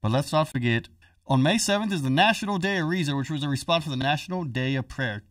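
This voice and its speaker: A man's voice: a little high, lively intonation, quick pace, fairly bright tone, no echo, studio quality, distant mic. A man hosting a podcast in casual chat, joking and telling a story in a chatty way. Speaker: podcast host.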